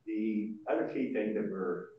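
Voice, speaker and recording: Low-voiced man in a very echoey room. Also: measured pace. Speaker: association board member presenting. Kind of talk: informal meeting presentation. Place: large indoor meeting room. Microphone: far room microphone. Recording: muffled, very echoey room, distant mic.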